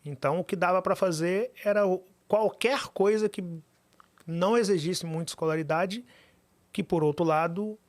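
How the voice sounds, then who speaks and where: A man, a podcast guest telling his life story with animated, emphatic repetition. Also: high-pitched, normal speed, dry recording. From Minas Gerais, Brazil.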